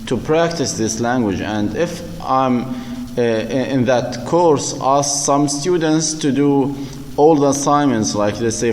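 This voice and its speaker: A man delivers a university lecture at a slow, measured pace. He halts often, filling gaps with repeated words. The recording carries a long, echoing reverb. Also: medium pitch, a little mellow, slight background noise. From Palestine. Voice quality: slow and halting, many fillers and repeated words.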